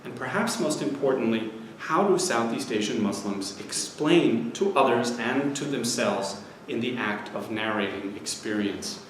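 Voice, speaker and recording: A man in his forties giving an academic history lecture, measured and explanatory, steadily listing places. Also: mid-range voice, animated, slight background noise. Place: lecture hall. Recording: very echoey hall with faint ambience.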